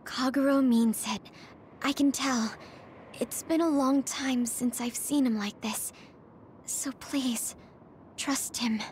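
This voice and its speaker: High-voiced sincere young girl. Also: takes it slowly, darkish tone, slight background noise. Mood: pleading. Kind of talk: video game cutscene voice acting.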